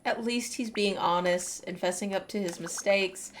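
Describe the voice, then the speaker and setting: A woman's voice: medium pitch, moderate pace, background noise. A woman gaming streamer giving casual, chatty commentary as a game story plays.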